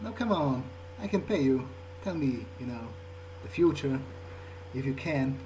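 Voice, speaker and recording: A man's voice: medium pitch, expressive, unhurried, darkish tone, a little hiss or hum, close to an everyday voice, poor audio. A man role-playing a newly turned, puzzled vampire during a game playthrough, talking in a casual, questioning, hesitant way. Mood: casual, persuading. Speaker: game player role-playing his character. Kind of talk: improvised in-character gameplay talk.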